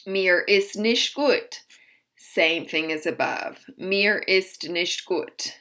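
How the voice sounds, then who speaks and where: A woman gives a recorded language lesson, sounding out each phrase slowly and clearly before she explains it. Her voice is bright and her pace is unhurried. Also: fairly low pitch. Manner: slow, deliberate modeling of phrases with explanations. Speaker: German teacher.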